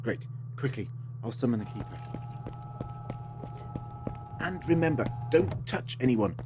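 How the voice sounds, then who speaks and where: A man's voice: medium pitch, lively intonation, measured pace, over music, lightly acted. A man acting in an audio drama as a resolute, improvising time-travelling hero, by turns hesitant and decisive. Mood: urgent, unsure. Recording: clear background noise.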